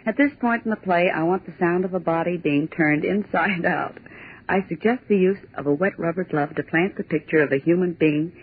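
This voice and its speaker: A woman's voice: lowish voice, brisk, slightly rough. An actress playing a cheeky, grumbling young woman typist with a scoffing, wisecracking manner in 1940s radio drama style. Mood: teasing, scoffing. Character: cheeky, sarcastic young female script typist, grumbling and fearless. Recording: tinny, noisy 1940s radio recording.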